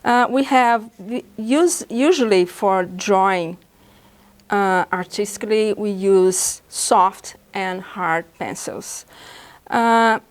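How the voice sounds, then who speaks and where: A woman from Brazil whose first language is Portuguese, speaking with a Brazilian Portuguese accent as she patiently demonstrates drawing supplies in a beginner art lesson, pausing often as she explains. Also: medium pitch. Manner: patient, halting explanations with pauses. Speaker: art instructor.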